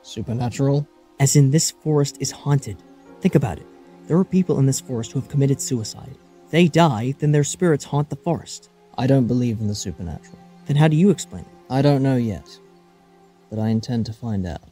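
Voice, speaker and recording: A man's voice: medium pitch, expressive, brisk, mellow, soft background music, lightly acted. A man performing earnest, alarmed adventurers in a fan-made audio drama, with dramatic, emotive delivery. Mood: puzzled, serious. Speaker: voice actor performing all parts.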